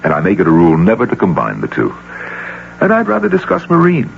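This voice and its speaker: A man's voice: low-pitched, huge pitch swings, fast talker, creaky, full character voice. An actor playing an urbane, teasing, self-assured male tycoon in a 1980 radio drama. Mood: playful. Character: genial, teasing, powerful male industrial tycoon. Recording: muffled, hissy old radio broadcast.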